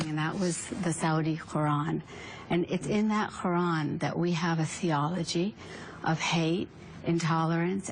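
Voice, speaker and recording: A woman guest whose first language is Urdu speaks in an earnest, emphatic way. Her voice sits a little low. A faint hiss runs under it. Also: creaky, poor audio. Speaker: guest, reform activist. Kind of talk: broadcast interview answer.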